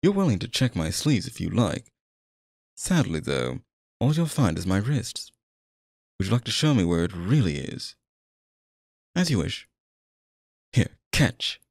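A man acts out a sinister male stage magician in an ASMR roleplay, courtly on the surface and possessive underneath. His lowish voice swoops expressively through theatrical, coaxing phrases. The sound is dry and close, with no room around it. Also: big pitch swings, measured pace, creaky, long pauses, dry recording, exaggerated voice. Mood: suave, showy.

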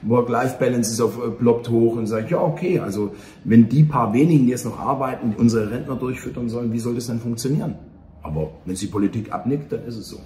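A man in his fifties talking earnestly and haltingly in a casual interview. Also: mid-range voice, dark tone. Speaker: theatre operator, interview guest. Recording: echoey room, faint ambient noise.

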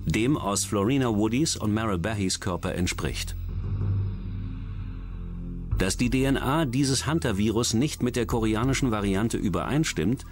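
A man reading scripted documentary narration about science and crime in a measured, dramatic, clearly enunciated way. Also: on the low side, animated. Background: music.

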